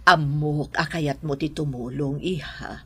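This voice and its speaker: Radio drama mother: soft, caring woman, notably low voice. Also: fairly even intonation, measured pace, breathy edge, full character voice. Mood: gentle concern. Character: gentle, concerned mother of a grown daughter.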